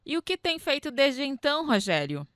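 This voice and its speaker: A woman's voice: sits high, sing-song, normal speed, a bit bright, dry recording, clean studio sound. A woman acting as an inquisitive younger sister in a radio drama. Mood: curious, gentle.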